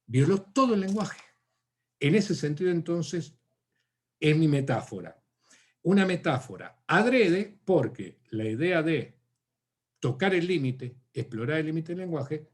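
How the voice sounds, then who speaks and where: An Argentine man answers a seminar question over a video call. His voice sits a little high, and he talks in a thoughtful way, pausing on fillers and restarting phrases. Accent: Argentine Spanish. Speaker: academic speaker.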